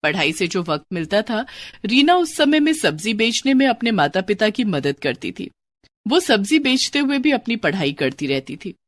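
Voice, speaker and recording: A woman's voice: mid-range voice, very animated, normal speed, no echo. A woman storyteller reading scripted narration of a moral bedtime story.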